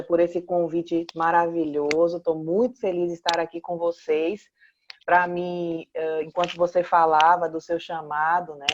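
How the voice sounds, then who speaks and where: A woman in her forties with a Pernambuco accent, a clinical psychologist answering interview questions in a measured, reflective way. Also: lowish voice, muffled recording, a little hiss or hum. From Pernambuco, Brazil.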